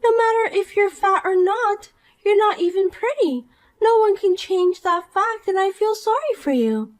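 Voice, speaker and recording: Woman playing a bratty queen-bee type, high voice that swoops all over, bossy and condescending. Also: animated, normal speed. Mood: scornful. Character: vain spiteful young woman, former school queen bee. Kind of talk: animated story dialogue.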